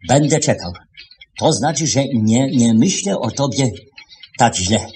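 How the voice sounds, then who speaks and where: A man acting in a radio play as a stern, agitated older boss, reproachful yet kind-hearted, speaking haltingly and repeating his words. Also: medium pitch, expressive, a little mellow, close to an everyday voice. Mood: stern, resigned. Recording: noisy 1970s radio recording.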